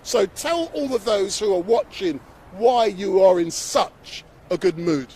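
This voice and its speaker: A man's voice: sits high, lively intonation, darkish tone, breathy edge. A man live-streaming from a city street, interviewing people and cutting in with prompts. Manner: brisk, interrupting, prompting the guest. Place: outdoors on a city street outside a court. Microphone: handheld phone livestream.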